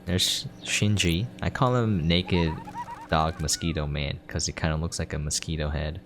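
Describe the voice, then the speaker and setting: A man's voice: a bass voice, fairly even intonation, normal speed, noisy background. A man casually explaining a game as he plays, in an offhand, explanatory way. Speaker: game preview host. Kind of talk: casual gameplay commentary.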